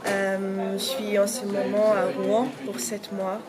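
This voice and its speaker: Slow, level Swiss woman. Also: mid-range voice, little pitch movement. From Switzerland. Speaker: German language assistant. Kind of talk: interview introduction. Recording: loud crowd noise behind.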